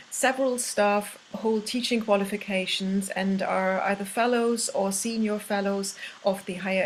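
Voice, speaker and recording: Woman, German accent, university lecturer, mid-pitched voice, hesitant informal presentation, faint background noise. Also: rough recording. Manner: hesitant, halting delivery with frequent pauses. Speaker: university art history lecturer. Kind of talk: informal course presentation to prospective students.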